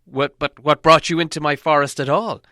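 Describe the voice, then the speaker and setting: A man's voice: on the high side, fairly even intonation, moderate pace, pushed and bright, no echo, studio quality, voice pushed up. A man voicing a stern, angry elven king from a storybook, imperious and demanding as he questions a prisoner.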